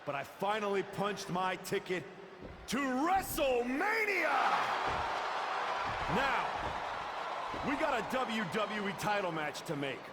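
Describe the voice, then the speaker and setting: A man's voice: sits high, very animated, takes it slowly, long pauses, exaggerated voice. A man playing a determined, defiant wrestler giving an in-ring challenge promo. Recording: noisy arena with crowd.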